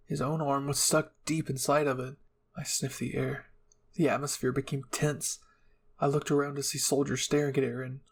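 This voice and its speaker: A man narrates a fan story in the first person. His voice is mid-pitched with a gravelly, husky grain, and he reads it dramatically. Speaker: fan fiction reader.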